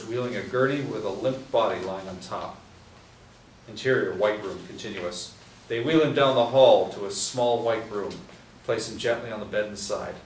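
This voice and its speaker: A man reading screenplay stage directions aloud at a table read, in a plain, even way. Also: fairly low pitch. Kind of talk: script reading. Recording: roomy echo, distant mic, faint hiss.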